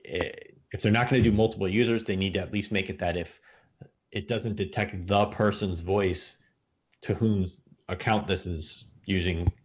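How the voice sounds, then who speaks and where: Male tech podcast panelist, deep voice, conversational delivery, somewhat dull audio. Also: steady tone, moderate pace. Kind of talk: casual tech podcast discussion.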